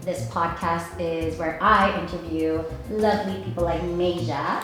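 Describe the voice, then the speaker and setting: A woman hosts a podcast in a relaxed, friendly way. She asks questions and fills in with quick encouraging replies. Her voice carries a heavy room echo. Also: on the low side, music in the background. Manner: friendly, lots of encouraging backchannels. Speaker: podcast host. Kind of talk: casual interview chat. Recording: very echoey room.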